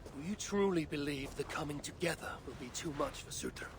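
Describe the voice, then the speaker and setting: An actor playing an imperious, vengeful Norse god warrior in a video game, speaking in terse, formal, old-fashioned demands. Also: male voice, on the high side, brisk, natural voice. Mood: commanding. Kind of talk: dramatic video game acting. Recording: clearly noisy game cutscene audio.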